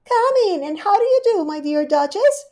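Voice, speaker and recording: A woman voicing a prim, genteel female cat hostess in a storybook reading, high and polite. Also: lively intonation, normal speed, darkish tone.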